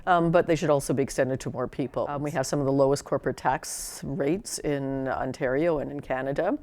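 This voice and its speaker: Woman, legislator, low voice, argumentative, filler words. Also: brisk, a little mellow. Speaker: provincial legislator. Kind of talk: interview.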